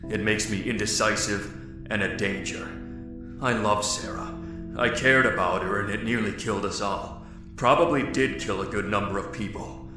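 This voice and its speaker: A man acting a guilt-ridden leader in an audio drama, speaking in a grave, halting, remorseful way. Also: fairly low pitch, lightly acted. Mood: pained resolve. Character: guilt-ridden male leader ending a romance. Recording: echoey room with loud guitar music.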